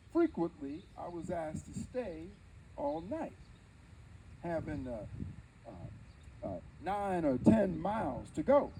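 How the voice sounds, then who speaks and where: Actor as a dignified, high-pitched old-time preacher orator. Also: male voice, dark tone, slight background noise, rough recording. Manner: emphatic, deliberate storytelling with dramatic pauses. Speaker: live history interpreter. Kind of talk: theatrical first-person oratory before an audience.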